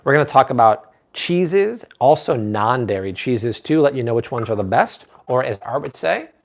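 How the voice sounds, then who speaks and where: A man in his thirties talks viewers through a recipe on a live cooking stream in his home kitchen. His voice sits at a middle pitch for a man. He is upbeat and chatty, and he often stops to answer viewer comments. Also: expressive, moderate pace, creaky. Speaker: cooking show host.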